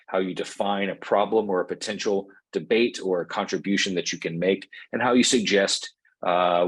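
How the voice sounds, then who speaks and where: A man hosting an online information session, talking in a relaxed, conversational way with plenty of fillers over a video call. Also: low voice, breathy edge. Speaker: associate dean hosting an info session. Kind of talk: webinar presentation and hosting. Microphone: computer mic on a video call.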